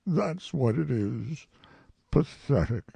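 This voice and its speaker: A man's voice: medium pitch, expressive, slow, vocal fry, mellow, husky, no room sound, pitched above the performer's own voice, exaggerated voice. A man voicing a gloomy, self-pitying old gray donkey in a children's storybook, mournful and ponderous. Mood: gloomy, resigned. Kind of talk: children's storybook character reading.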